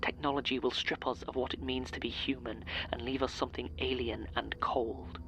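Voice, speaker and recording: An actor playing a solemn, ominous man who warns of an apocalypse in a breathy audio-drama monologue. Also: medium pitch, level tone, normal speed, natural voice, poor audio. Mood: grave foreboding. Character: grave man warning of a coming apocalypse.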